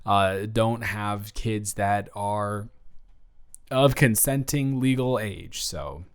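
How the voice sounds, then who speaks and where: A man's voice: lowish voice, clean tone. A young American man playing a chatty, awkward best friend in an improvised audio roleplay, talking casually and often interrupting himself. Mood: awkward, candid. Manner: rambling, halting, self-interrupting. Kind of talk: improvised boyfriend-style audio roleplay, casual one-sided chat.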